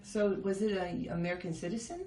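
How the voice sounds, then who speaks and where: A woman's voice: mid-range voice, steady tone, fairly quick, crowd noise. A woman hosting a casual interview, asking curious, chatty questions. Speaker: host. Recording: echoey, from a distance, faint noise.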